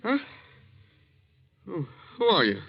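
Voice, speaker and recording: An actor playing a groggy, suspicious, stammering drunkard in a 1940s radio drama. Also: male voice, high-pitched, huge pitch swings, slow pace, creaky, slightly rough, exaggerated voice. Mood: groggy, confused. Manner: stammering, befuddled, whining. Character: groggy, suspicious, self-pitying male drunkard. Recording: dull 1940s radio broadcast recording.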